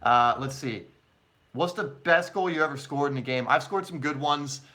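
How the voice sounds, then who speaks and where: A man's voice: on the high side, moderate pace, pushed and bright. A man from England who has long lived in the United States, hosting a soccer live stream and answering questions in a casual, chatty, informal way. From born in England, long living in the United States. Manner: chatty, informal, addresses viewers as mate and guys.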